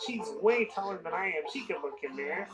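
An actor plays a little truck creature from a kids' cartoon. It is friendly, eager and folksy in its expressive delivery. Music plays clearly behind the voice. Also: medium pitch, fairly quick, close to an everyday voice. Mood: puzzled, straining. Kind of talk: children's cartoon dialogue.

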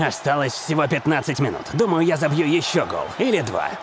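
A man's voice: fairly high pitch, big pitch swings, fairly quick, noisy background. A man playing an arrogant, hulking star striker villain in a dubbed cartoon, gloating and boastful.

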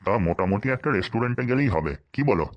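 A man's voice: fairly low pitch, lively intonation, racing, close to an everyday voice. A man voicing a sly, penny-pinching, henpecked husband in a comedy cartoon, speaking a rural western Bengal dialect with folksy, wry asides. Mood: placating. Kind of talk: comic cartoon dialogue.

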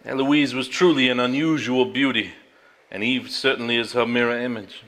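An actor on a theater stage playing a man who reads an old journal aloud, deliberate, formal and earnest. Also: mid-range voice, steady tone, fairly bright tone, natural voice. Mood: earnest, tender. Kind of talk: live stage drama, measured dramatic reading. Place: theater stage before a live audience.

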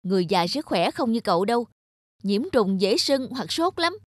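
A woman's voice: medium pitch, very animated, moderate pace, no room sound, studio quality. A woman voicing an outspoken, protective market woman in a cartoon, firm and insistent. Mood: scolding. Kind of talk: cartoon voice acting.

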